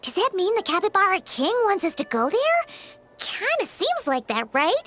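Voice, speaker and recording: A woman voicing a tiny, bubbly, easily indignant girl companion in a video game, with a squeaky childlike voice and exclamatory delivery. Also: huge pitch swings, moderate pace, fairly bright tone, full character voice. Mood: curious. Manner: refers to herself by name, exclamatory. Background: game background music.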